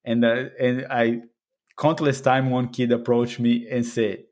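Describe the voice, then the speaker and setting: A man's voice: medium pitch, level tone, unhurried, darkish tone. Brazilian man chatting with easy laughs. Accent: Brazilian Portuguese. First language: Portuguese. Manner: hesitant restarts, laughs while telling anecdotes. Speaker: podcast guest. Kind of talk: casual interview chat.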